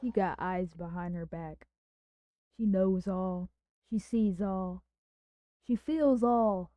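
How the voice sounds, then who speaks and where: A woman livestreaming a game with casual, playful, expressive commentary. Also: mid-range voice, slow pace, no room sound, muffled recording.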